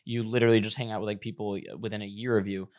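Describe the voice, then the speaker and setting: A man's voice: on the low side, flat delivery, very fast, a little mellow. A man hosting a podcast, chatting casually and energetically with his guest. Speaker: podcast host.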